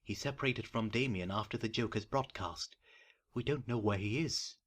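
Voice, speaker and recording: A man's voice: fairly low pitch, moderate pace, a bit bright. A man playing a loyal, worried older butler, formal and deferential, in an audio drama.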